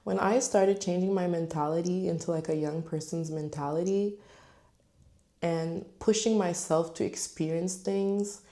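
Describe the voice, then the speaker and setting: Gravelly-voiced chatty woman in her twenties. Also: lowish voice, fairly even intonation, a little slow, dark tone. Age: 20s. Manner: chatty, filler-heavy, candid. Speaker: vlogger. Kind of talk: casual talk to camera.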